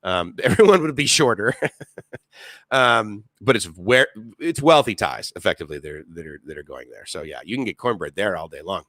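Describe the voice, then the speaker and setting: Rambling man, bright low voice. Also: animated, normal speed, no echo, clean studio sound. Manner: rambling, lots of fillers and restarts, reads chat aloud. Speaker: live stream host. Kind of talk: casual live-stream chat.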